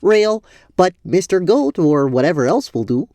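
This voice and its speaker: A woman's voice: fairly low pitch, very animated, normal speed, dark tone, no echo. An actress playing an eager, naive male goat in a fantasy audio drama, with a wide-eyed, folksy manner. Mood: offhand.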